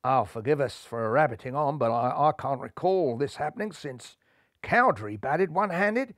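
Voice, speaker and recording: A man's voice: mid-range voice, expressive, moderate pace, no echo, voice pushed down, close to an everyday voice. An old-fashioned rabbit cricket commentator, put on by a man for a storybook. The delivery is earnest and full of wonder, recorded dry and close. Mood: marvelling, reminiscing.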